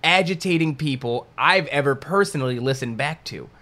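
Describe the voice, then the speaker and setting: Guy cohosting a podcast, slightly high voice, chatty and self-mocking, gets loud and animated. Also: normal speed. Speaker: podcast co-host. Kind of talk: casual podcast chat.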